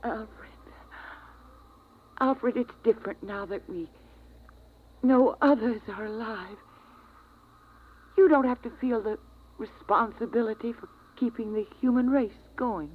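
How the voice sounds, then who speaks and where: A woman voicing a gentle, reassuring mother in a 1950s radio drama, speaking slowly. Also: fairly high pitch. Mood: tender. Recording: tinny old radio transcription.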